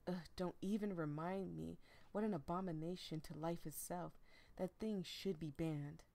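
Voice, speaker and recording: A woman's voice: fairly low pitch, fairly even intonation, moderate pace, gravelly, dark tone. A woman voicing a teasing, affectionate, cocky young man in a visual novel game, with casual playful banter. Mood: playful disgust.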